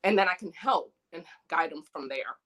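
A woman from New York, born in the Dominican Republic, talking as a doula guest in an earnest, chatty, animated way. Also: on the low side, normal speed, a crowd in the background. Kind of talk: casual interview conversation.

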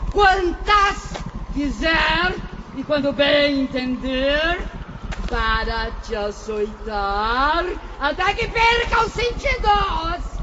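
Shrill, mocking old hag spirit. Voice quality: cackling, theatrical. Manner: taunting, gloating, drawn-out delivery. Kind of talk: radio drama acting. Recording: dull lo-fi sound over loud noise.

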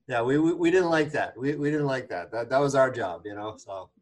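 A man from the Boston area hosting a podcast, joking in casual banter with halting fillers and restarts. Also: medium pitch. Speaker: podcast host.

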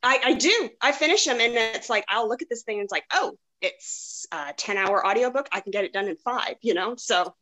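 A woman's voice: on the high side, expressive, a little fast, hardly any pauses, sharp tone, poor audio. A woman talking casually on a podcast panel, often restarting her sentences as she explains herself.

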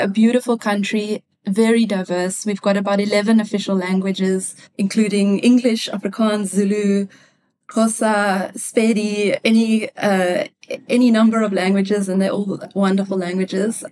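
A woman with a South African accent from Cape Town, an economist answering interview questions in a thoughtful way, often pausing to find her words. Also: medium pitch, a little mellow, rough recording. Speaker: guest, economist.

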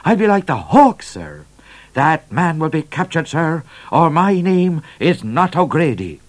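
A man's voice: on the high side, very animated, measured pace, voice pushed up. A man playing a smiling, boastful trooper in a storybook, obsequious and declaiming boldly to his superior. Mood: bold boasting. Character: smooth smiling boastful male trooper, eager to please.

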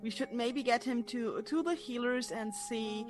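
A woman playing in a tabletop game, soft and hesitant, farther from the mic, with faint music behind. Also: a little high, a little slow. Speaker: player.

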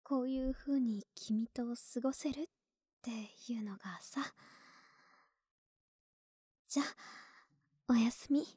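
A woman playing a clingy, sweet young neighbor in an ASMR voice drama, soft and breathy, often whispering, intimate and coaxing, close to the mic. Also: a little high, slow pace, long pauses, no echo. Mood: tender, sleepy. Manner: intimate, affectionate, hesitant and coaxing. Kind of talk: Japanese ASMR situation voice drama. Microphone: close, dry ASMR microphone.

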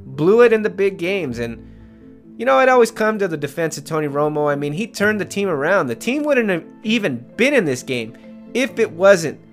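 A man's voice: sits high, big pitch swings, normal speed, faint music behind. A man hosting a sports podcast, recounting football games in a casual, opinionated, slangy way like an emotional diehard fan.

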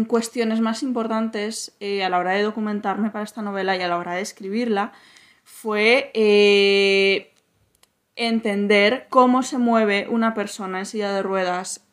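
A woman novelist from Madrid, speaking as a podcast guest and answering thoughtfully with frequent pauses and restarts. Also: medium pitch. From Spain, Madrid. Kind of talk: podcast interview.

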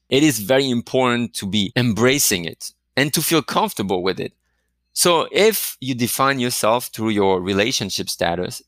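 A man's voice: lowish voice, a bit bright, dry recording, studio quality. A man giving a reflective coaching talk, asking the listener many questions. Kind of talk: motivational talk to camera.

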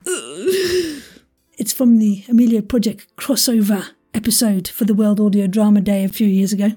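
A woman's voice: medium pitch, measured pace, mellow, breathy edge, soft background music. A woman hosting a quiz in a casual, chatty way, playful and rambling as if talking to herself. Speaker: quiz host.

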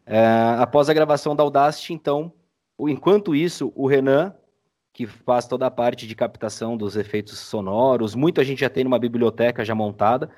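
A man with a Brazilian accent speaks as a guest on a podcast. His voice sits at a middling pitch, and he explains things in a casual, conversational way. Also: a little fast, a little mellow. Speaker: podcast guest, audiodrama editor. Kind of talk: casual explanatory interview chat.

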